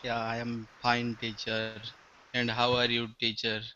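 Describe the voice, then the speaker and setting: Male non-native student, halting delivery, flat intonation, piercingly bright voice, in an online class. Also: medium pitch, smooth. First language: not English. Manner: halting, searching for words. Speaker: English learner, student. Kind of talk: conversational class answers. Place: group video call. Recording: muffled, lo-fi online call.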